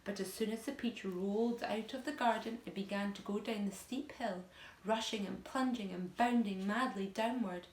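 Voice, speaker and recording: A woman narrating a children's story aloud in an expressive style. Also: medium pitch. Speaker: teacher reading aloud. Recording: echoey room.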